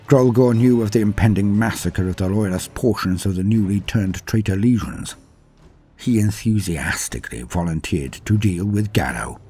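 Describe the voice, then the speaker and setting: Low husky guy doing epic lore narration, theatrical, faint music under it. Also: warm tone. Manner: grandiose, theatrical storytelling. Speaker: narrator and presenter of a lore channel. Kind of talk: dramatic scripted lore narration.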